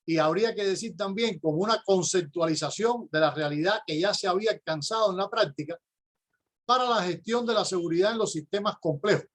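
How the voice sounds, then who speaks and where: A middle-aged man with a Cuban accent delivers a prepared conference talk, his voice sitting notably high for a man. He explains step by step in a measured tone and pauses now and then to find the next word. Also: a bit bright. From Cuba. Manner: measured, explanatory, with occasional hesitations. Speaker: university professor and conference speaker.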